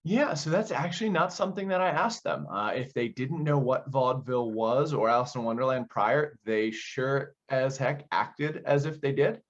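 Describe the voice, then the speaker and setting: A man's voice: medium pitch, moderate pace, few pauses, lo-fi sound. A man answering interview questions conversationally as a director, explaining things and often posing rhetorical questions. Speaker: audio play director being interviewed.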